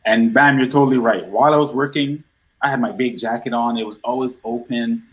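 A middle-aged man from Guyana chatting casually as a guest on a talk show, with a medium-pitched voice. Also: fairly even intonation, fairly quick, rough recording. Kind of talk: casual chat.

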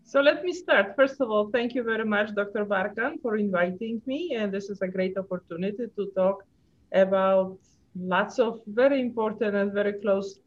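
A woman's voice: mid-range voice, moderate pace. Guest voice: a middle-aged woman with a Polish accent, chatty and upbeat, on a dull lo-fi line. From Poland. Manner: chatty and cheerful, laughs between phrases. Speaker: guest, pathologist. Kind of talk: casual chat. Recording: dull lo-fi audio.

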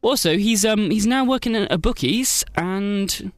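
A man hosting a radio show, chatting in a jokey, playful way with quick asides and laughs. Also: sits high, no echo, studio quality, faint music behind. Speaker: radio host. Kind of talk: casual radio chat.

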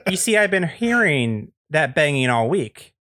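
Man putting on a chipper, high-pitched nosy neighbor. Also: sing-song, unhurried, fairly bright tone, no echo, clean studio sound. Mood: cheerful, prying. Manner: over-friendly, folksy cowboy-like phrasing. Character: chipper, nosy, folksy suburban male neighbor.

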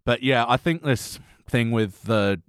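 A man's voice: medium pitch, measured pace, no echo, studio quality. A man hosting a podcast, giving his opinions in casual, conversational review chat. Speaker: podcast host.

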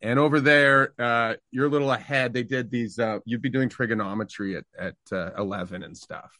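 A middle-aged man from Canada, a singer-songwriter, talking reflectively about his past in a relaxed interview, with fillers and restarts. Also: mid-range voice, a little fast, dry recording, clean studio sound.

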